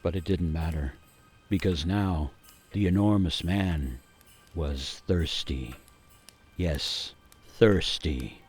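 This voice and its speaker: A man playing a grave gingerbread man, narrating a radio play as a haunted survivor with theatrical suspense and weighty pauses. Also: low-pitched, darkish tone, slightly rough, faint music behind, exaggerated voice. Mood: hushed suspense. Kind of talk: dramatic first-person storytelling for a radio play.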